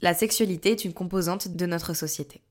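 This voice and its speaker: Woman reading scripted narration. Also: fairly low pitch. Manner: measured, essay-like reading.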